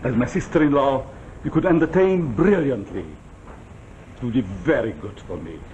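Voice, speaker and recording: An actor in a 1940s television drama playing an arrogant, domineering general who speaks in a blunt, pressing, commanding way. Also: male voice, medium pitch, expressive, normal speed, a little mellow, natural voice. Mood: pressing, seductive. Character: arrogant, domineering wartime general, an insistent seducer. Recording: muffled, noisy 1949 television soundtrack.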